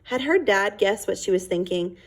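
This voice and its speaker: Husky, expressive woman reading a storybook. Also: medium pitch, normal speed. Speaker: storyteller reading a children's book aloud. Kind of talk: expressive read-aloud narration.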